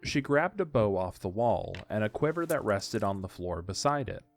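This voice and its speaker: A man's voice: lowish voice, very animated, moderate pace, soft background music. A man narrating an audiodrama as scripted story narration.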